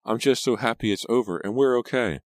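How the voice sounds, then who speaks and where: Storybook role: male narrator as an anxious, emotional teen girl, voice lifted well above his natural bass, recorded dry. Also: huge pitch swings, unhurried, no echo. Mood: tearful. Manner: stammering, emotional exclamations. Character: frightened, tearful teenage girl, pleading and relieved.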